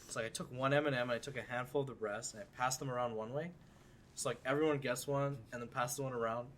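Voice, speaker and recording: A man's voice: mid-range voice, fairly even intonation, quick pace, a bit bright, slight background noise. A seventeen-year-old man hosting a casual podcast chat, rambling with lots of fillers. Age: teens. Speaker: podcast host.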